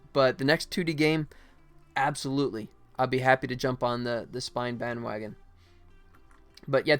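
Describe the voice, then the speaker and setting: A man's voice: mid-range voice, clean tone. A man chatting casually on a livestream as he works, rambling and thinking aloud as he explains each step. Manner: rambling, thinking aloud, half-muttering to himself while working. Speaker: game developer streaming his work. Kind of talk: casual livestream tutorial and chat while working. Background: music behind the voice.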